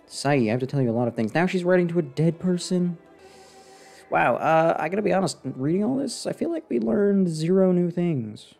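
A man's voice: sits high, animated, a little fast, creaky, a little mellow. A young man doing sarcastic, unimpressed, casual commentary as he streams a game into a close microphone. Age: young adult. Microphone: close desk microphone. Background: game music.

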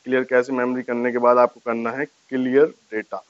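A man's voice: mid-range voice, little pitch movement, brisk, no echo, highs cut off. A man gives a casual step-by-step tutorial to camera, explaining and repeating each step. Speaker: tech tutorial host. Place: small furnished room indoors, on a sofa. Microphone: lapel mic.

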